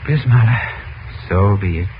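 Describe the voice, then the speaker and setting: An actor playing a smooth, sorrowful prince in a 1940s radio serial, speaking formally and solemnly as he coaxes a naive girl. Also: lowish voice, expressive, a little slow, slight background noise. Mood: grave. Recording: dull old radio recording with music.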